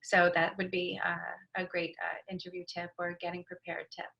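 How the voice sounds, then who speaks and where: A woman's voice: on the low side, monotone, moderate pace, gravelly, sharp tone, lo-fi sound. A woman speaking as an expert panelist, offering conversational advice. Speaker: panelist, brand strategist and writer.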